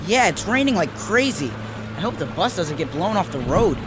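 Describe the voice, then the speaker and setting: A woman's voice: lowish voice, big pitch swings, normal speed, heavy background noise. An actress playing a wary, squeamish schoolgirl in a children's horror audio drama, anxious and exclamatory. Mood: disgusted, worried.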